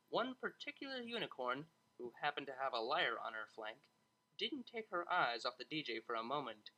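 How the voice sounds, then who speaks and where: Audiobook narrator, scripted fiction, expressive delivery, animated pitch, bright crisp edge, mid-range voice. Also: rough recording. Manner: expressive, dramatized reading.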